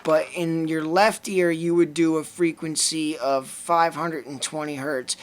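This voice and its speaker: A man's voice: on the high side, unhurried. Lithuanian-accented man reading aloud. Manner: reads passages aloud in a steady list-like way. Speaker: podcast co-host. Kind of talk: casual chat, reading from a book.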